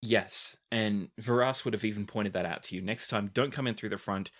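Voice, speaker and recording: A man acting as game master, narrating a tabletop roleplaying game and explaining it deliberately. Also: fairly low pitch, a bit bright.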